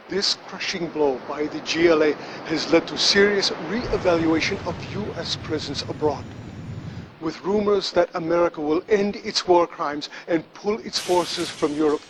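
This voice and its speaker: An actor playing a solemn, boastful propagandist war reporter in a game, declaiming a scripted TV news report. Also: male voice, on the high side, noisy background, close to an everyday voice. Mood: grave, triumphant.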